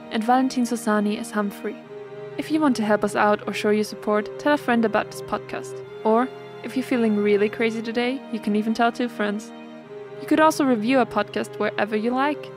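A woman reading scripted end credits as the announcer of an audio drama podcast. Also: fairly high pitch. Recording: clear music behind voice. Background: music.

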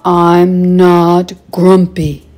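A sulky young chimp from a storybook, played by a woman, stubborn and emphatic. The voice is on the low, mellow side and takes its time. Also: completely flat delivery, very slow, faint music behind. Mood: angry. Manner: emphatic, shouting and sighing.

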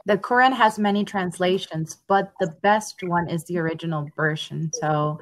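A young woman from Honduras talking on a headset over a video call, thoughtful and pausing with ums. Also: lowish voice, fairly even intonation. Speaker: language learner. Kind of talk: casual video call conversation. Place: home room.

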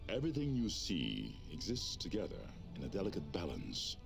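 A man's voice: wildly swooping pitch, moderate pace, creaky, exaggerated voice. An actor playing a wise, noble male lion king speaking to his son in a commanding way. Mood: solemn. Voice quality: deep, commanding. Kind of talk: storybook character voice. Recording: music playing behind.

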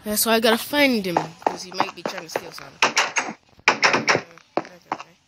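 A woman voicing an excitable, suspicious character in an improvised game roleplay, exclaiming and muttering to herself. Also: mid-range voice, normal speed, natural voice. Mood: searching, puzzled. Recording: noisy home screen recording, clicks.

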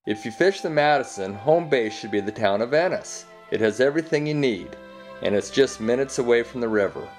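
A man giving calm, scripted narration for a fishing show. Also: medium pitch, brisk, faint music behind.

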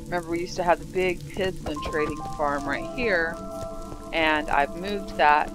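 A woman's voice: deep voice, fairly even intonation, a little slow. A woman giving casual, rambling gameplay commentary while touring her builds, a little congested from sinus trouble. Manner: rambling, thinking aloud. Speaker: game server builder. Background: background music.

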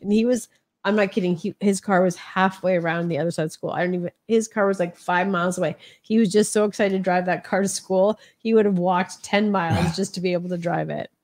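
A woman's voice: on the low side, fairly even intonation, a little fast, a little mellow. A sniffly middle-aged woman, chatting casually and teasingly as she co-hosts and reads out comments. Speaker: livestream co-host reading chat comments. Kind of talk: casual chat.

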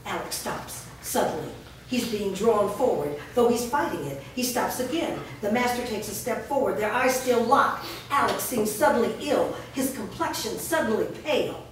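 A woman's voice: fairly high pitch, animated, moderate pace. A woman reading scripted stage directions aloud as narrator at a staged reading. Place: large bare indoor room, staged reading before a small audience. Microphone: distant camera. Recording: echoey room from far off, noisy.

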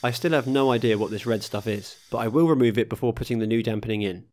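A man talks through his car restoration in a scripted voice-over. His voice sits at a middling pitch, and his delivery stays steady and matter-of-fact throughout. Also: fast, a little hiss or hum. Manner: steady, matter-of-fact explaining of workshop steps. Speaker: host of a car restoration channel.

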